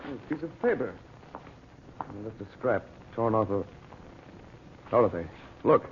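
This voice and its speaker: Authoritative adult adventurer, brisk and impatient, lively intonation, vintage radio serial, phone-like narrow sound. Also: male voice, on the low side. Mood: intent, commanding. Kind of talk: 1930s-40s radio serial acting. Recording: tinny, noisy old radio recording.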